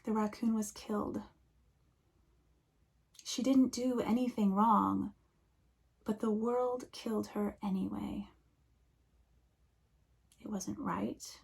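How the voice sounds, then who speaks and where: Woman minister preaching slow and hushed, husky gravelly voice, drops near a whisper. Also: medium pitch, steady tone. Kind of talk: reflective sermon.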